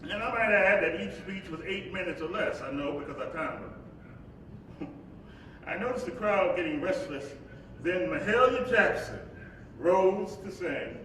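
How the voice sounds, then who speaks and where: Civil rights preacher, eloquent, slow oratorical delivery, reflective, stage play, distant echoing hall. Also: male voice, high voice, expressive, a bit bright. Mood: reflective, wry. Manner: measured, deliberate, preacherly cadence. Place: live performance in an echoing hall. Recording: very echoey hall, distant mic, faint noise.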